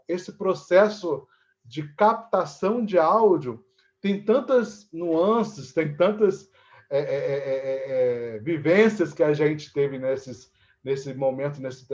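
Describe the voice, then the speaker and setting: A Brazilian man giving conversational, explanatory interview answers, often checking for agreement with short tag questions. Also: on the high side. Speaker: interviewee, actor and theatre teacher. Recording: dull, lo-fi audio.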